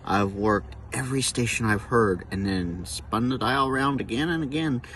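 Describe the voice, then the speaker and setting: A man's voice: medium pitch, normal speed, fairly bright tone, a little hiss or hum. An American man hosting a casual outdoor vlog as a radio operator, recorded on a camera in a park. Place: outdoors in a park by a baseball field. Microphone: camera without external microphone.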